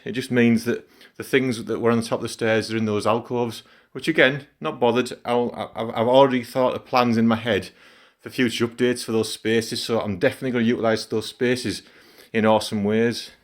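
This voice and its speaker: A middle-aged man with a croaky voice from a cold, chatting casually in a home vlog as he works and comments on it. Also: mid-range voice, fairly even intonation, a little fast. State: hoarse from a cold. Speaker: gaming channel host.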